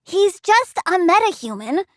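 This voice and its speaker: A woman's voice: very high-pitched, sing-song, a little slow, no echo, clean studio sound, heavily acted. A woman playing a bratty, perky young girl villain who adores plants and scorns humans, in a childlike, petulant video game voice. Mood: petulant, scornful. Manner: petulant, childlike, blithely menacing. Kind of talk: video game character voice lines.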